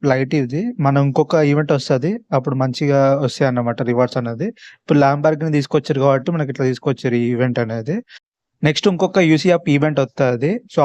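A man's voice: mid-range voice, darkish tone, dry recording. A man from India with a Telangana Telugu accent, giving a casual, instructional walkthrough as a gaming YouTuber.

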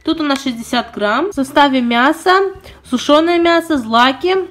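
A woman's voice: sits high, normal speed. A young woman with a sore throat, chattily reviewing pet products she bought, filmed at home. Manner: chatty, detailed, apologetic asides. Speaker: pet vlogger. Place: indoors at home.

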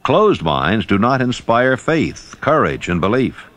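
A man reading an audiobook as scripted narration, with deliberate, emphatic phrasing. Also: mid-range voice, very animated, a bit bright, dry recording.

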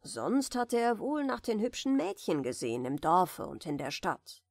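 A woman reading a fairy tale aloud as a bedtime audiobook, softly and soothingly, in a deliberately gentle manner. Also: medium pitch, sing-song, no room sound, clean studio sound. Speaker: audiobook reader.

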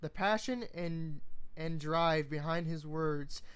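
Smooth-voiced amateur story reader. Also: medium pitch. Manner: halting, stumbles and repeats words. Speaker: fan fiction reader.

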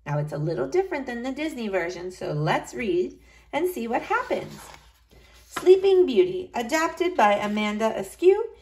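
Animated woman reading a children's storybook. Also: a little high, normal speed. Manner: expressive, addressing young children. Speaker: school librarian reading to children. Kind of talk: children's storybook read-aloud.

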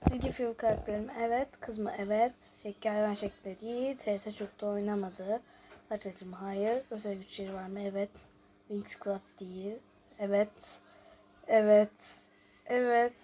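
A woman's voice: mid-range voice, steady tone, moderate pace, warm tone, a little hiss or hum. A woman hosting a casual gameplay video, chatting and thinking aloud as she reads out questions and answers them. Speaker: YouTube host.

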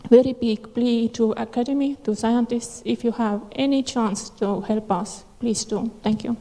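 Earnest woman with gravelly hall-echo voice. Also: on the high side, fairly even intonation, dark tone. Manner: halting, earnest, many filler pauses. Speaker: audience member asking a question. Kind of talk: emotional plea from the floor. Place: conference hall. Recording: hall reverb in a large venue.

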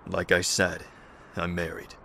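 A man playing a stoic, married leader in a zombie fan drama, speaking in a measured, formal, controlled way. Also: deep voice, completely flat delivery, gravelly, pushed and bright, slight background noise, full character voice. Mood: restrained. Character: stoic married leader of survivors, restrained and firm. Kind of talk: dramatic audio visual-novel fan drama.

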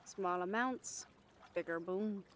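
A woman's voice: lowish voice, slow pace, a little hiss or hum. A woman playing a brash, cheeky catgirl pirate in an audio roleplay, bantering with salty sarcasm. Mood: teasing, excited. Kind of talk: roleplay audio drama acting.

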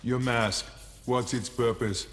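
A man acting a stoic killer robot in a video game, speaking in flat, terse, mechanical lines. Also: mid-range voice, slow pace, natural voice. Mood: cold, flat. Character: stoic, menacing humanoid killer robot, adult male. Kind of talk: video game character dialogue. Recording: long reverb, loud effects noise, clicks.

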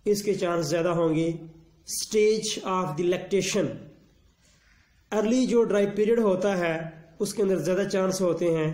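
A man, a veterinarian giving a measured instructional lecture that mixes with English technical terms. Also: high-pitched, dark tone, reverberant. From Pakistan. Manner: slow, measured explanation mixing Urdu and English terms. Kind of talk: instructional lecture on livestock disease.

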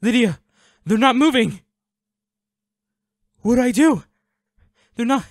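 A man playing a devoted, anguished boyfriend in an intimate audio roleplay, pleading and halting with emotion. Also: very high voice, expressive, no room sound, studio quality, heavily acted. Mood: panicked, pleading. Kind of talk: intimate dramatic audio roleplay, one-sided dialogue.

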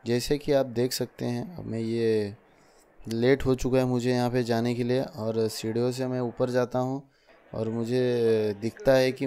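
A young man tells his vlog in a casual voice-over, talking straight to his viewers as friends. His delivery stays level, with little rise and fall. A steady hiss of noise sits under the voice. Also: mid-range voice. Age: young adult. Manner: chatty, addresses viewers as friends. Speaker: vlogger.

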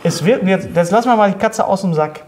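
A middle-aged man with a fairly high voice hosts a relaxed interview. He chats casually and reads questions aloud, often stumbling back over his words to repeat them. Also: quick pace, a little hiss or hum. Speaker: talk show host. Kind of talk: casual interview chat, reading out fan questions. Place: indoor studio set at a table.

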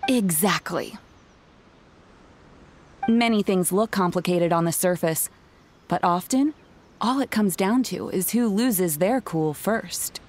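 An actress playing a calm, thoughtful young shrine maiden who gives advice deliberately in an anime game. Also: female voice, on the low side, a little hiss or hum. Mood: calm, advising. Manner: composed, deliberate, instructive. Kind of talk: anime video game dialogue. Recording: music clearly behind the voice.

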